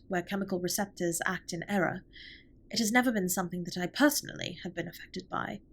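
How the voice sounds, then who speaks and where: A woman performs an alien spaceship captain in a comfort roleplay audio drama. The voice is husky and breathy at a medium female pitch. The delivery is formal and measured, with precise wording and an earnest, protective care for the listener. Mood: thoughtful, solemn.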